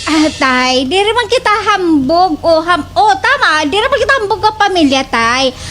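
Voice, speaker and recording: A woman playing an excitable, dramatic young daughter with a Waray accent in a slapstick radio comedy. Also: very high voice, normal speed, soft background music, exaggerated voice. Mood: protesting. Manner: gushing, dramatic. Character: excitable, social-media-obsessed young daughter. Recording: noisy background.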